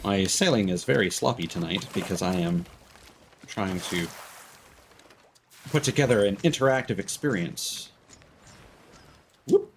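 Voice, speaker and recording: A man's voice: fairly low pitch, lively intonation, unhurried, long pauses, slight background noise. A man giving casual live game stream commentary, rambling and thinking aloud into a streaming microphone.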